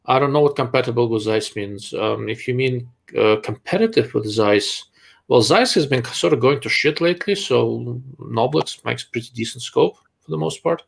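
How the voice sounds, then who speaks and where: A man's voice: mid-range voice, animated, normal speed, gravelly, breathy edge. A man with a Russian accent hosts a live Q&A, answering viewer questions in a blunt, dryly sarcastic way. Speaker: host. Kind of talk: live-stream chat and Q&A.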